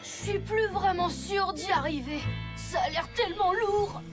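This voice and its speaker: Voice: very high-pitched, measured pace. An actor voicing an anxious, self-doubting young boy motorbike in a French-dubbed children's cartoon, hesitant and plaintive. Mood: discouraged. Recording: loud music behind.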